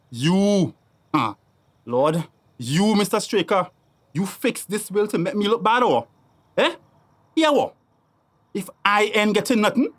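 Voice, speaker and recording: An actor playing a bitter, grasping, middle-aged man in a radio drama, with a Tobagonian accent and a taunting, blustering manner. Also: sits high. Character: Cuthbert, bitter, grasping, hot-headed man claiming paternity.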